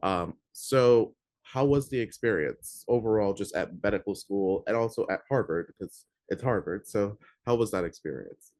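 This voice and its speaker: A young man hosting a student podcast asks his questions in a friendly, eager way, using casual phrasing. His voice sits fairly low. It is recorded dry, with no room sound. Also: moderate pace, a little mellow, dry recording. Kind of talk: interviewer asking questions.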